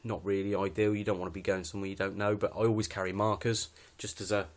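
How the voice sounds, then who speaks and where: A British man with a fairly low voice talks through his outdoor gear off the cuff. He is understated and matter-of-fact, a little hesitant as he goes, like an informal hobby video presenter. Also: flat delivery, fast talker. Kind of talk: casual unscripted gear walkthrough.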